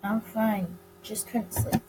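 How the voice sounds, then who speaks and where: A woman's voice: mid-range voice, fairly even intonation, slow pace, lightly acted. A woman voicing a sardonic, teasing male police detective from a 1920s visual novel. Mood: tired, dismissive. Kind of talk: visual novel character reading. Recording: clear background noise.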